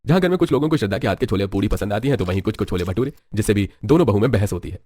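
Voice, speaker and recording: A man's voice: on the low side, animated, racing, warm tone. A man narrating a scripted bedtime story as a storyteller. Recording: music behind the voice. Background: background music.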